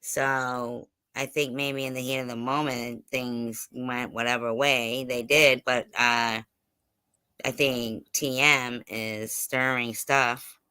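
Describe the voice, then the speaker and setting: A man's voice: medium pitch, little pitch movement, slow, pushed and bright, clear, resonant tone, dry recording. A man hosting a casual online gossip interview, speaking insistently and repeating phrases for emphasis. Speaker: host of an online interview show.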